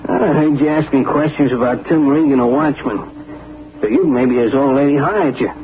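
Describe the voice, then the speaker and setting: A man's voice: medium pitch, moderate pace, lightly acted. An actor playing a sneering male dockside thug with slangy, menacing street talk in a 1940s radio drama. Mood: sly. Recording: tinny old radio broadcast with hiss.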